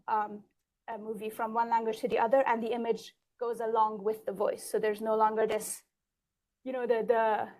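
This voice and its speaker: A woman speaking on a panel at a live event, explaining her work in a measured way. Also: fairly high pitch, a little mellow. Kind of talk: panel introduction. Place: event stage in a large hall.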